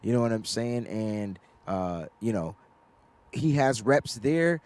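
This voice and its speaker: A middle-aged man hosting a casual sports talk livestream, loud and animated, laughing often, into a desk microphone in a home studio. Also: on the low side, slow. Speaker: sports show host.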